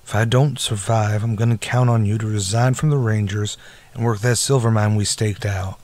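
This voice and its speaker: An actor playing a steady, earnest Texas Ranger captain and protective older brother in a radio western, speaking with firm authority. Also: male voice, fairly low pitch, moderate pace, close to an everyday voice. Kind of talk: old-time radio western acting.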